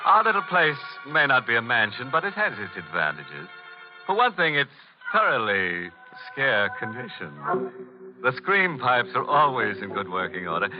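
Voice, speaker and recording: An actor playing a macabre, mock-genteel horror host who savors grisly puns on a 1940s radio show. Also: male voice, mid-range voice, close to an everyday voice. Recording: muffled 1940s radio recording, organ music.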